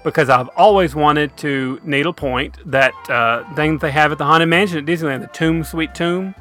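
A chatty co-host with a high, bright voice rambles through stories in comedic banter. He keeps the tone self-deprecating and easygoing. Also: normal speed, faint music behind.

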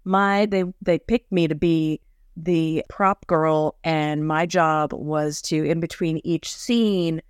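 A woman's voice: sits low, steady tone, slow, smooth, no echo, studio quality. A woman co-hosting a podcast, telling chatty anecdotes in a casual way. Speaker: podcast co-host. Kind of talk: casual chat.

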